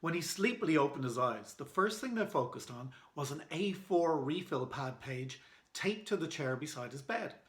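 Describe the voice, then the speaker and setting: A man's voice: on the high side, animated, normal speed, a bit bright. An Irish man reading aloud in a children's storytime style, as an author and illustrator. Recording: echoey room.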